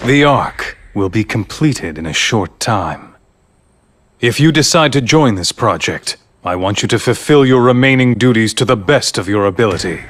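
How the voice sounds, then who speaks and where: An actor playing a calm, persuasive leader of a grand scheme in a video game, speaking in formal, measured, eloquent phrases. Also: male voice, fairly low pitch. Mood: grave, persuasive. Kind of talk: dubbed video game cutscene acting.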